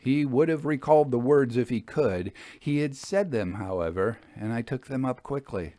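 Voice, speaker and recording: A man narrating a literary ghost story as an audiobook, in a measured, formal storytelling manner. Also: mid-range voice, expressive, dark tone, no room sound.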